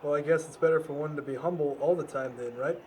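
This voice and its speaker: A man's voice: a little high, fairly even intonation, quick pace, mellow, breathy edge, poor audio. A man acting a shy, earnest young newcomer in an amateur audio drama, speaking politely and hesitantly over background noise. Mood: sheepish. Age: young adult.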